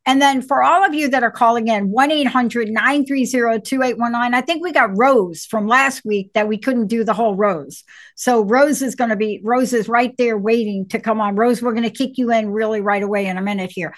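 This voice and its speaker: A woman's voice: fairly high pitch, fairly even intonation. Bubbly middle-aged woman co-hosting. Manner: playful, bubbly, rapid bursts. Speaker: co-host, psychologist. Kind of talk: call-in radio show chat.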